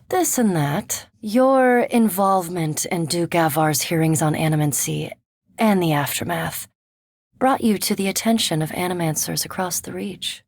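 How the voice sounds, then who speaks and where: An actress playing a cool, scholarly, curious vampire animancer in a fantasy video game, speaking formally and precisely with a dry, reserved manner. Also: female voice, mid-range voice, a little mellow, no echo. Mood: dry, polite.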